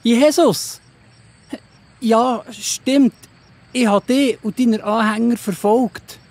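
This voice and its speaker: A woman's voice: a little high, takes it slowly, darkish tone. A woman voicing Saulus, a stern young man, in a children's audio drama, speaking theatrically with a Swiss German accent. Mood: dismayed. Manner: exclaiming, theatrical.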